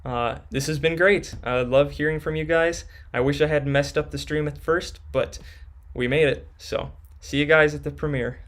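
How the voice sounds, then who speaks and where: A man's voice: on the high side, fairly bright tone. A young man hosting a live stream, reading viewers' questions from chat and answering them casually.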